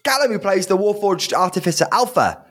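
A man running a tabletop game podcast, introducing the players with loose, joking banter. His pitch swings up and down a lot as he talks, sitting a little high. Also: lively intonation, brisk, fairly bright tone. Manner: jokey, rambling, stumbles over names. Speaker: dungeon master and host.

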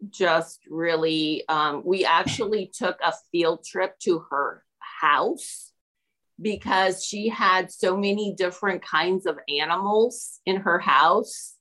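A woman's voice: on the low side, slow, bright, forceful tone, poor audio. A woman from Peru speaking as a teacher being interviewed, answering in a conversational, reflective way with analogies and anecdotes.

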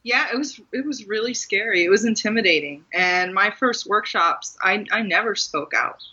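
Bright-voiced American woman, slightly dull recording. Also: mid-range voice, animated, moderate pace, slightly rough. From Maryland, US. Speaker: guest author and writing teacher. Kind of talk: casual reflective interview conversation.

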